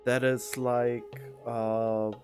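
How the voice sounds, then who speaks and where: A man giving casual reaction commentary on a video game, thinking aloud in a halting, puzzled way. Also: mid-range voice, level tone. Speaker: game streamer. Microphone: close studio condenser microphone. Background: game music with plucked guitar.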